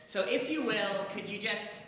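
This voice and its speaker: Bright, high-voiced man in an echoey hall. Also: measured pace. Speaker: show co-creator introducing a staged reading. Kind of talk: informal live talk to an audience. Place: indoor venue with live audience. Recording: echoey hall, distant mic, faint noise.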